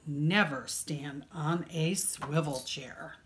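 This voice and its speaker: A woman reading a picture book aloud to children as a librarian, with expressive storytelling and deliberate, dramatic emphasis on key words. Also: deep voice, a little hiss or hum.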